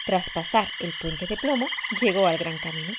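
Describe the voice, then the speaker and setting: A woman narrating a scripted children's story expressively, with deliberate emphasis. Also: fairly low pitch, very noisy. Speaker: author narrating her own story.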